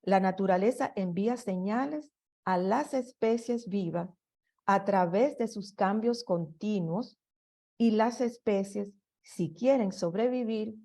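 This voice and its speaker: Slow, halting woman giving a lecture. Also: mid-range voice, warm tone. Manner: slow and halting, with restarts and fillers. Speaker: presenter. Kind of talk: slide presentation lecture.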